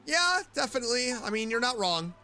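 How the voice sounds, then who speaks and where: A chatty woman doing casual gameplay commentary. Her tone is bright and crisp-edged, with lively swings in pitch and a playful way of reacting, over faint background music. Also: brisk. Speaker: streamer playing a video game.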